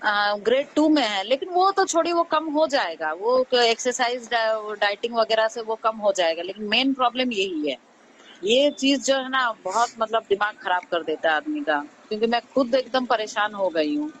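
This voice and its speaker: A woman's voice: on the high side, lively intonation, normal speed, a bit bright. A woman with an Indian accent casually recounting her troubles as a caller, earnest and repeating words for emphasis. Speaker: caller seeking health advice. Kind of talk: casual chat, recounting symptoms. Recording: slightly noisy phone call recording.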